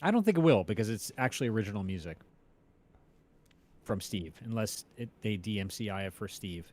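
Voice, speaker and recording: A man's voice: lowish voice, lively intonation, a little fast, a little mellow. A man hosting a livestream interview in a casual, conversational way. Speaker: stream host.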